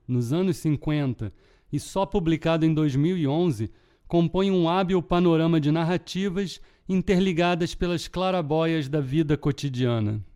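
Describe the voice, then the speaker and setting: A man with a Carioca accent that leans toward European Portuguese, host of a literary podcast, giving measured scripted narration. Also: on the high side, warm tone, smooth. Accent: Rio de Janeiro Portuguese with European Portuguese touches. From Brazil. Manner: measured, deliberate reading.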